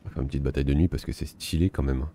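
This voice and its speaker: A man giving relaxed, self-mocking commentary while playing a strategy game, thinking aloud. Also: extremely low pitch, very fast, slight background noise. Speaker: gaming video host. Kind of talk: casual let's-play commentary.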